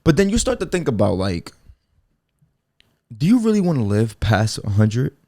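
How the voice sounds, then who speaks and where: Gravelly young man rambling on a podcast. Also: mid-range voice, sing-song, normal speed, warm tone, dry recording, clean studio sound. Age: 20s. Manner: rambling, laid-back slang with frequent fillers and restarts. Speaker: podcast host. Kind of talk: casual solo podcast monologue.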